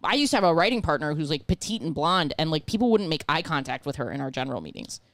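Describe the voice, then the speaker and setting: A woman in her thirties with a deep voice, a comedian guest chatting casually on a podcast, brash and profane as she tells stories. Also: quick pace, no room sound. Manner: brash, profane, animated storytelling. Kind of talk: casual chat. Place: small living room podcast set. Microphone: handheld mic, headphones.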